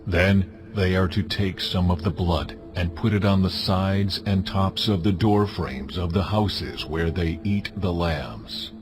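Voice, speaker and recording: A man playing God in a dramatized audio Bible, solemn and authoritative as he delivers measured commands. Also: deep voice. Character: God, solemn commanding male deity giving laws. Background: music.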